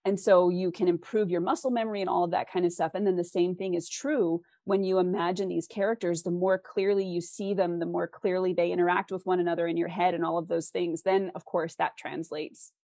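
Lower-pitched woman explaining in an interview. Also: fairly even intonation, brisk, hardly any pauses, mellow, dry recording. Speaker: interview guest, fiction author. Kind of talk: conversational interview, explaining writing craft.

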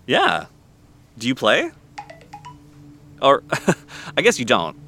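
An actor playing a chatty, easygoing cafe owner in an audio drama, talking casually. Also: male voice, mid-range voice, wildly swooping pitch, slow, gravelly, pushed and bright, slight background noise, heavily acted. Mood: relaxed, chatty. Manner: casual, conversational. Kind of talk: naturalistic audio drama acting.

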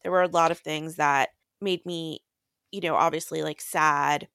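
A woman's voice: deep voice, steady tone, moderate pace, fairly bright tone, clean tone, dry recording, clean studio sound. Woman co-hosting a casual book podcast. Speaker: podcast co-host. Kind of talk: casual book discussion and recap.

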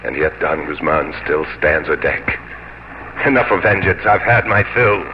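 An actor playing a brave English sea captain, with earnest, dramatic delivery in an old radio drama. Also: male voice, rumbling low, expressive, moderate pace, background noise. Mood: weary, grave. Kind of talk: old-time radio drama acting and narration. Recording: muffled 1950s radio with loud music.

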